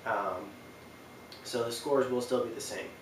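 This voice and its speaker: A young man talks off the cuff in a roomy space, some distance from the mic. His delivery is casual and halting, like a host presenting a list from his couch. There is a faint noise in the recording. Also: mid-range voice. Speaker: video host presenting a ranking. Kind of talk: casual unscripted talk to camera. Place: small indoor living room on a couch.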